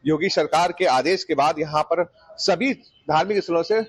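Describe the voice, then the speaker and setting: A man's voice: fairly high pitch, moderate pace, husky. A man reporting to camera from location for TV news. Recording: faint outdoor ambient noise.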